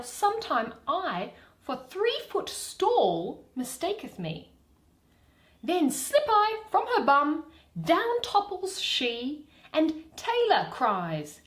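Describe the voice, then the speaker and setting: A woman performing a mischievous, boastful male sprite in theatrical, drawn-out Shakespearean verse. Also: high voice, pitched above the performer's own voice, full character voice.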